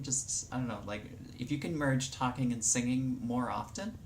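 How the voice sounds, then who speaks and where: Young woman, very low pitch, casual tutorial chat, lots of ums, faint room noise. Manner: relaxed, rambling with many fillers. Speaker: vlogger.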